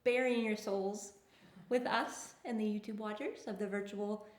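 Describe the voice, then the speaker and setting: A woman's voice: medium pitch, fairly even intonation, measured pace. A woman hosting a writers' open mic, giving casual feedback and remarks to the group. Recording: echoey, roomy sound.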